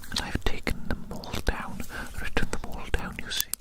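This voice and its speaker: A person whispering breathily in an ASMR roleplay as a fussy, fascinated doctor, soothingly repeating short phrases. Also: moderate pace. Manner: soothing, repetitive, murmuring phrases over and over. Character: fussy, fascinated doctor studying a little creature. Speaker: ASMR performer playing a doctor measuring a specimen.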